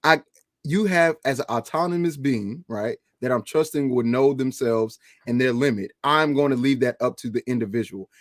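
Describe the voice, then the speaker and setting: A man on a podcast panel debating dating, animated and argumentative. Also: mid-range voice, moderate pace, dry recording, clean studio sound. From Atlanta area. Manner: animated, argumentative, laughs mid-point.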